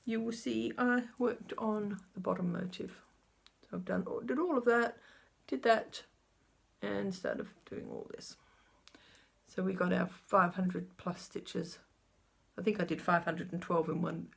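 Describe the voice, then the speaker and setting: A woman's voice: mid-range voice, takes it slowly, creaky, fairly bright tone, husky. A middle-aged woman chatting casually through her cross-stitch update, rambling and talking to herself as she checks her notes. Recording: faint background noise.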